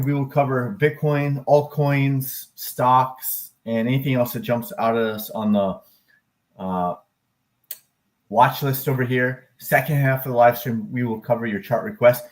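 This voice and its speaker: A man hosting a casual live stream about crypto and stock charts, talking in an upbeat, rambling way with many fillers and self-corrections, and now and then whooping loudly. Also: medium pitch, moderate pace. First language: English. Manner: occasional loud whooping cheers.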